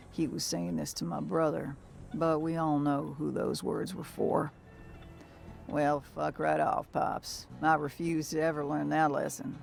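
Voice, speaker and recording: A weary woman bounty hunter in a video game, musing with dry, salty wit. Her voice is gravelly with plenty of fry, and soft music sits behind it. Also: sits low, exaggerated voice. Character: weary, salty female bounty hunter, dinosaur-loving misanthrope. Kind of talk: video game journal narration and dialogue.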